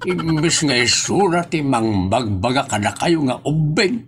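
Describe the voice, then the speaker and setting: A man's voice: mid-range voice, animated, unhurried, noisy background. A man playing a teasing nephew in a comedy audio drama, talking in a playful way. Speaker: nephew teasing his aunt.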